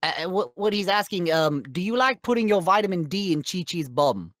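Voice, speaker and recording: Someone doing a smug snide alien overlord villain, talks fast, super animated, sarcastic cartoon parody. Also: medium pitch, no room sound, clean studio sound, natural voice. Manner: sardonic, smug, fast offhand quips. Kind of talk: in-character fan question-and-answer show.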